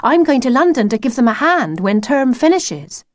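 A woman voicing a blunt, exasperated young schoolgirl in a children's story, brisk and impatient. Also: sits high, sing-song, no room sound, studio quality, pitched above the performer's own voice. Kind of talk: children's storybook character reading.

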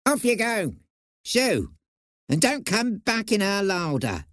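A man's voice: sits high, sing-song, takes it slowly, no room sound, clean studio sound, voice pushed up, full character voice. A man voicing a tiny, bossy larder mouse in a storybook, speaking sharply and accusingly. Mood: dismissive. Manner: sharp, scolding.

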